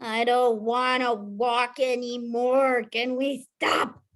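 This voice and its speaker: Woman playing an eager, high-voiced young boy scout. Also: level tone, slow pace, fairly bright tone. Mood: whiny, tired. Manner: earnest, childlike, talkative. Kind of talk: table read of an animated film script.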